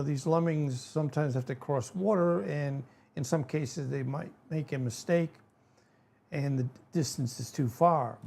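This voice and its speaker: Man in his seventies, Rhode Island accent, casual rambling host, slow pace, gravelly, dark tone. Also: fairly high pitch. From New England. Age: 70s. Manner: rambling, folksy, frequent fillers and self-corrections. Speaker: channel host. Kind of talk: casual educational talk to camera.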